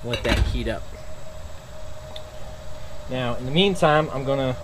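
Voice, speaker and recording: A man's voice: medium pitch, measured pace, noisy background. Man chatting casually while camp cooking outdoors. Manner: relaxed, step-by-step, many fillers and restarts. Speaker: outdoor cooking channel host. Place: outdoors at a truck tailgate by a camp stove.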